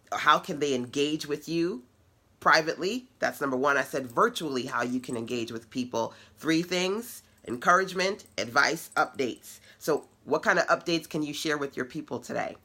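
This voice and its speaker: A middle-aged woman with a deep but bright, forceful voice teaches a live web class. She talks to her audience in a conversational, encouraging way and tosses in playful asides. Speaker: web class host and business coach. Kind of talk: casual teaching to an online audience.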